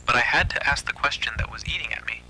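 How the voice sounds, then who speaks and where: A man's voice: medium pitch, fast talker, bright, forceful tone, whispered, rough recording. A man narrating a scripted first-person horror story.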